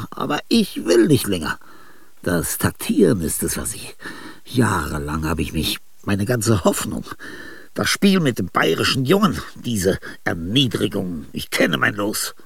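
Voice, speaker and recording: An actor in a radio play voicing a weary, bitter aging composer, talking in rambling fragments with murmured asides and sudden outbursts, husky and gravelly. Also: male voice, mid-range voice, big pitch swings, unhurried, no echo, exaggerated voice. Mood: agitated, bitter. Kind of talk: radio drama monologue. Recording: dry studio radio play.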